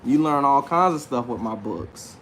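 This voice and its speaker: A man's voice: medium pitch, lively intonation, moderate pace, a bit bright. Self-assured man talking over background noise. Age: late 30s. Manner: self-assured, slangy, repeats himself, often says "man". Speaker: livestream host and self-published author. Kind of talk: casual unscripted livestream monologue.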